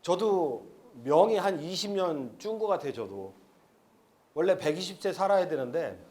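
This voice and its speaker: A man's voice: a little high, sing-song, normal speed, a little mellow. A man in his fifties giving a frank, rambling seminar lecture at an expo, with many fillers. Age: 50s. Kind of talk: live seminar lecture to an audience.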